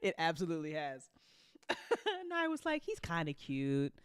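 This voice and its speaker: A woman in a casual group chat, talking in an animated, jokey way and exclaiming often. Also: deep voice, a little slow, clear, resonant tone, no echo, clean studio sound. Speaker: host of audio drama after show.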